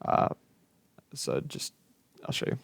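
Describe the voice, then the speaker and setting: A young adult man giving a casual software demonstration as a student, informal, offhand and a little tentative. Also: slow pace, no echo. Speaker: student presenting a final project.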